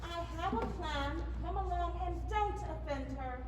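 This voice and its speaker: A woman playing a scheming, haughty goddess with brisk theatrical stage delivery. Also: extremely high pitch, background noise. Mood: plotting. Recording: echoey stage recording.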